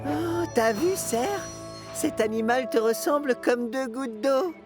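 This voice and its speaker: A woman voicing an eager, kind-hearted young steam engine in a children's cartoon, with an earnest, expressive delivery. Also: sits high, slow pace. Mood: eager, tender. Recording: music under the voice.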